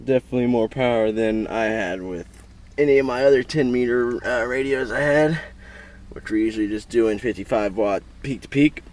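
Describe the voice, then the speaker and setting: A man's voice: medium pitch, normal speed, a little mellow. A man giving an informal, plainspoken how-to walkthrough as a DIY video host, filmed in and around a pickup truck. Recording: clear background noise, vehicle ambience.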